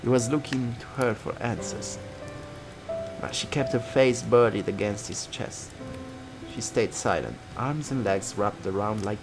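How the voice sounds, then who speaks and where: A man's voice: fairly low pitch, a little slow, low-quality recording. A man reading a visual novel aloud as a let's player, narrating dramatically and dropping into casual asides. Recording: guitar music behind.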